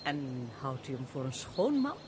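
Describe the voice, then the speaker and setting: Noblewoman, highly expressive pitch, old-fashioned formal diction, radio play, slightly noisy recording. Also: unhurried, exaggerated voice. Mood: playful, probing. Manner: formal, archaic, expressive speech. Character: lively, teasing, compassionate noblewoman, a countess. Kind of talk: theatrical Dutch radio play acting.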